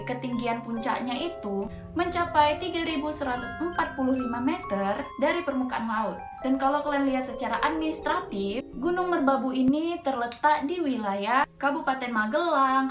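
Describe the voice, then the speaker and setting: A young woman with a Medan accent, telling a mountain ghost story in a chatty, slangy way with lots of filler words. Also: sits high. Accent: Medan Indonesian. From Medan, Indonesia. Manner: chatty, slangy, tosses in frequent filler interjections. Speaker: storyteller host. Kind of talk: casual horror storytelling. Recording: echoey room with background music.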